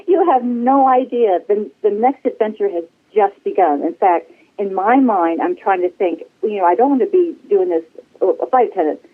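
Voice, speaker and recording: A woman in her sixties chatting casually as an interview guest, effusive and rambling, over a telephone. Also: medium pitch. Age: 60s. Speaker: podcast guest, flight attendant. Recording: tinny phone-line sound.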